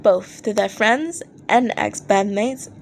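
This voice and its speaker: A woman reading fan fiction aloud as an expressive amateur audiobook narrator, acting out posts in an animated way. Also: mid-range voice, takes it slowly, vocal fry, slight background noise.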